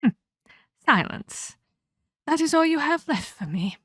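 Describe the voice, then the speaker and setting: A woman's voice: high-pitched, very animated, dry recording, studio quality. A woman voicing a menacing female vampire captor in a scripted ASMR roleplay, theatrical and mockingly sweet as she scolds and condescends. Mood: cold, taunting. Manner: theatrical, mock-polite, slow deliberate scolding.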